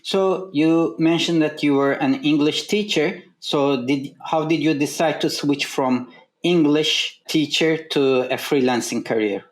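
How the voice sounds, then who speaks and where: A man hosting a podcast, introducing his guest and asking plain, measured questions. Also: on the high side. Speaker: podcast host. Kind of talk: hosting an interview. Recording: echoey room.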